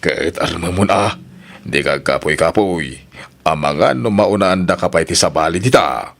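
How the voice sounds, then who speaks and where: A man's voice: low voice, lively intonation, normal speed, vocal fry, fairly bright tone, voice pushed down, heavily acted. A man voicing a teasing, nosy older male friend in a drama, goading in a drawl with an Ilocano accent. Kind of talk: Ilocano radio-style drama acting.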